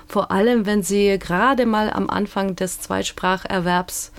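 A woman guest expert explaining her field in a measured way, with occasional hesitations. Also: mid-range voice, fairly even intonation. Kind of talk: explanatory discussion.

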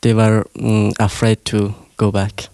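A young man from Afghanistan speaks in short, halting phrases, filling gaps with hesitations. His voice is gravelly but smooth, with a level, even delivery and a dry, close sound. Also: lowish voice, no room sound. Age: young adult. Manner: hesitant, broken phrases with "uh" fillers. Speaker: interviewee, refugee. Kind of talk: halting interview answers in learner English.

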